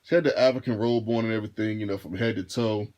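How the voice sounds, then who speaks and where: A man in his thirties with a deep, low voice, chatting straight to the camera in a loose storytime. He takes his time, repeats himself and circles back mid-sentence in an easygoing way. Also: steady tone, quick pace, a little mellow. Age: 30s. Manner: laid-back, rambling, repeats and restarts phrases. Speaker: vlogger and rideshare driver. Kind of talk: casual storytime monologue.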